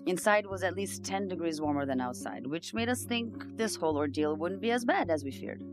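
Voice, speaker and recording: A woman's voice: lowish voice, expressive, fairly quick. An actress playing an earnest, weary homeless migrant woman, confiding her story in a conversational audio-drama monologue. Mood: reflective, rueful. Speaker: narrator-protagonist of an audio drama. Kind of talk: first-person dramatic monologue. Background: plucked guitar music.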